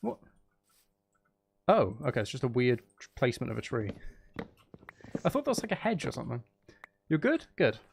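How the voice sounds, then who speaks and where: A man's voice: medium pitch, very animated, normal speed, gravelly, long pauses, a little hiss or hum. Guy streaming a game, casual and playful, kinda talking to chat and himself. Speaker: streamer and channel host.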